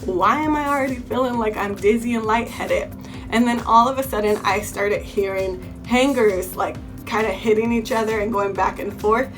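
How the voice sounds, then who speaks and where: A woman's voice: fairly high pitch, normal speed. A young woman from California's Bay Area, a beauty vlogger, chatting through a story-time video and often repeating herself. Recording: music playing underneath.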